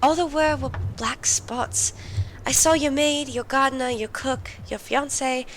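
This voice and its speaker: A woman's voice: sits high, a little slow. A woman playing an earnest, wounded, devoted boy in an audio drama, speaking imploringly and intimately. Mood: pleading, earnest. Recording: faint background noise and ambience.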